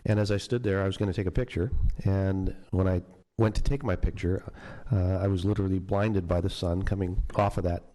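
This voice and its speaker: A man's voice: sits low, steady tone, brisk, hardly any pauses, warm tone, low-quality recording. A man on a city council, asking a rambling question with many fillers. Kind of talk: questioning at public meeting. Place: council chamber.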